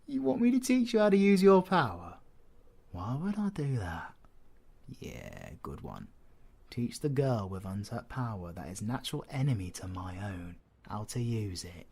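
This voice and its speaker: A British man playing a cocky, menacing, flirtatious supervillain in an audio roleplay, husky and sardonic, often dropping to breathy whispers. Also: fairly low pitch, sing-song, normal speed, darkish tone, full character voice. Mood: mocking. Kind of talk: scripted audio roleplay, ASMR drama.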